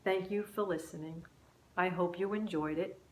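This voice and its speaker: A woman narrating a children's story aloud in an expressive storytelling manner. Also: fairly low pitch, moderate pace, roomy sound, slight background noise, low-quality recording.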